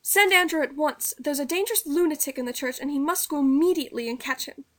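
A woman plays a genteel vicar's wife in a storybook. She is high and shrill, fearful yet imperious, giving out urgent commands. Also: fast, a bit bright. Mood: frantic.

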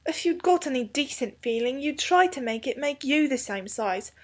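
A woman's voice: high-pitched, expressive, normal speed, pitched above the performer's own voice. A woman voicing an indignant, blunt schoolboy who speaks scornfully.

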